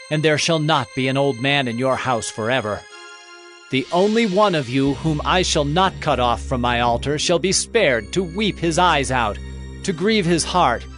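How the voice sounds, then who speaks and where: An actor playing a stern prophet who declares divine judgment, solemn and declamatory, in a dramatized scripture reading. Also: male voice, fairly high pitch, fairly bright tone. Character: stern male prophet delivering God's judgment. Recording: faint music behind the voice.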